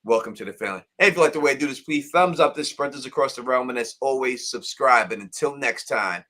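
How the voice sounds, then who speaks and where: Host: man, bright tone, upbeat shout-outs, voice a little raspy. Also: medium pitch, fast talker. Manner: upbeat, shout-outs to viewers, repeats words. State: voice getting raspy. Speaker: live stream host. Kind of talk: casual live stream chat.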